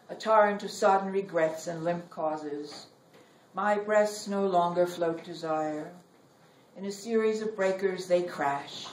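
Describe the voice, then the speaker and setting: A middle-aged woman giving a live poetry reading in measured, deliberate phrases with dramatic pauses. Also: lowish voice. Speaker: poet reading own work. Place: library reading room. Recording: roomy, noisy recording, distant from mic.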